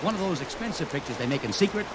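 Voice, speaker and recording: A man's voice: fairly high pitch, expressive, very fast, lightly acted. An actor playing a brash, wheeling-dealing publicity promoter in an old radio drama, talking in salesman patter. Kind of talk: 1940s radio acting. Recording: old radio recording with loud hiss and rain.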